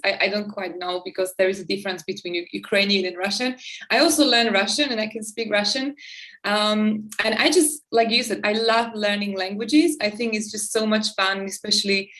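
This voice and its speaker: A woman's voice: medium pitch, a bit bright. A woman from the former Czechoslovakia, speaking English as a second language, hosting a casual interview with enthusiastic, chatty talk. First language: not English; similar to Czech. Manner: enthusiastic, chatty, frequent restarts. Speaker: podcast host.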